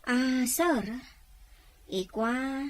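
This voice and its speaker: A woman acts a respectful, eager farm employee in an audio drama. Her voice sits fairly high, with a deferential delivery. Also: expressive, extremely slow, exaggerated voice. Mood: respectful, pleading. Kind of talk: dramatized audio story acting.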